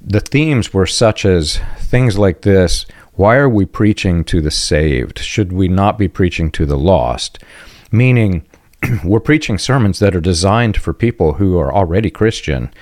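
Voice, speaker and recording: A man from the United States hosting a podcast, talking in a reflective, conversational way and often clearing his throat. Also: deep voice, lively intonation, a little slow, a little mellow, dry recording, studio quality. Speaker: podcast host.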